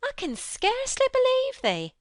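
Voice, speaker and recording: A woman voicing a flustered young Yorkshire maid, kindly and fearful, speaking broad dialect in a high, anxious voice. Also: big pitch swings, normal speed, a bit bright, dry recording, studio quality.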